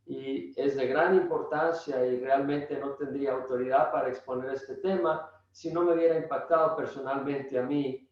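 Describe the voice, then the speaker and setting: An older man with a Salvadoran Spanish accent gives a personal introduction to a religious lecture, speaking deliberately with halting pauses. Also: medium pitch, fairly even intonation. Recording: echoey, distant, dull room sound.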